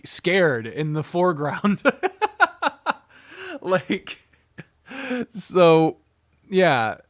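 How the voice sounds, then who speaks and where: A man's voice: on the high side, a bit bright, dry recording, clean studio sound. A man giving a casual, unscripted video review as a streamer, rambling candidly and swearing freely. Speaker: visual novel streamer and reviewer.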